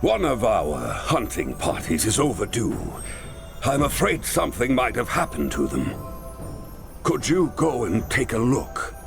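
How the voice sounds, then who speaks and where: A man's voice: mid-range voice, huge pitch swings, measured pace, creaky, heavily acted. An actor playing a worried, earnest male leader of forest folk in a fantasy video game, grave and pleading. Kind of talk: video game character acting. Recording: music behind the voice.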